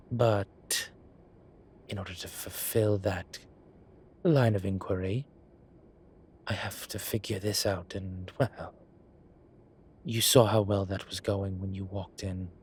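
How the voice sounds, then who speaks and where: A man's voice: deep voice, a little hiss or hum. A man playing a vain, suave incubus demon who poses as a posh professor in an audio roleplay, with a wry, self-satisfied drawl. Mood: conspiratorial. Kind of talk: scripted audio roleplay acting. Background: ambient sound effects.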